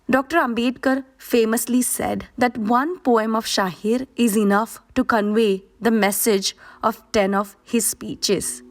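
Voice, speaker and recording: A woman podcast host reading a scripted narration in a steady, earnest, explanatory way. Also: medium pitch.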